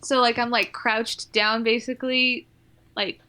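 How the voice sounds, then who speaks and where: A woman's voice: a little high, little pitch movement, unhurried, bright, forceful tone. A woman playing a tabletop game, casually describing what her character does.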